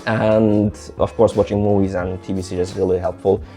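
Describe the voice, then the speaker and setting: Low, mellow Azerbaijani man, American-leaning accent. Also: flat delivery, fairly quick, faint music behind. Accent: mostly American with some British. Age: young adult. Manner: rambling, many "like" fillers and restarts. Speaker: language-learning vlogger. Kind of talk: casual advice talk to camera.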